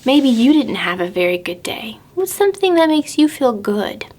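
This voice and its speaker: A woman presenting storytime to preschoolers, asking simple questions and stressing key words deliberately. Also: a little high, very animated, a little mellow. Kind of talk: storybook reading and talking to young children.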